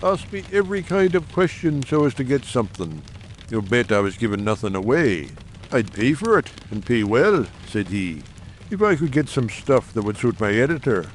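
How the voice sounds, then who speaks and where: A man acts out an earnest, shrewd brother of a secret coal-town society in an audiobook drama. He speaks formally and persuasively at a medium pitch, confident in his case. A faint noise runs behind the voice. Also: big pitch swings, normal speed, lightly acted. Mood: confiding, assured.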